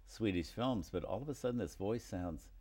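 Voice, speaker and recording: Male host, very low voice, leads with informed prompts. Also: expressive, moderate pace, heavy background noise. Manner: prompting, knowledgeable questioner. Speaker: interview host. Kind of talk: conversational interview.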